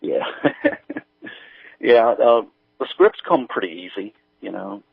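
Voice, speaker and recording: A man talking casually as an interview guest, halting and hesitant as he explains things over a remote call. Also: lowish voice, lively intonation, gravelly, breathy edge, no echo. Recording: tinny, narrow phone-like line.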